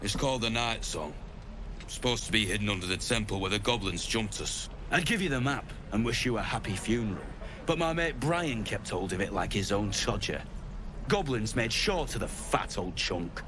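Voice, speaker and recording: An actor playing a gruff, cynical mercenary rogue in a fantasy game, with a British accent and slangy, sardonic delivery. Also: male voice, fairly low pitch, lively intonation, moderate pace, background noise, lightly acted. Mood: gruff, wry.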